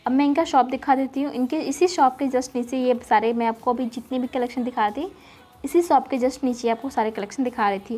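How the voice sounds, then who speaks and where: A woman's voice: high-pitched, darkish tone. A woman narrating a shopping vlog casually, gushing with repeated praise. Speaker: shopping vlogger.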